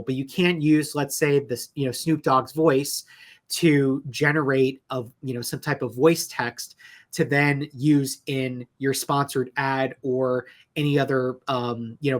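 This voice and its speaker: A man's voice: mid-range voice, a bit bright. Conversational man explaining legal points. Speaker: lawyer, interview guest.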